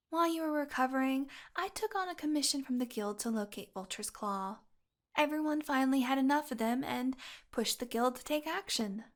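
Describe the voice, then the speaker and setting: A woman playing a possessive fantasy healer in an audio roleplay, teasing and condescending, coaxing the listener with sweet menace and a domineering streak. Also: sits high.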